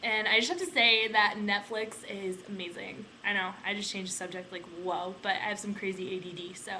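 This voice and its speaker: Young woman, American accent, bright voice, medium pitch, casual vlog chat, rambling informal delivery, some background noise. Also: steady tone, fairly quick, rough recording. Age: young adult. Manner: informal, rambling, peppered with "like" and "you know". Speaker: vlogger, aviation student.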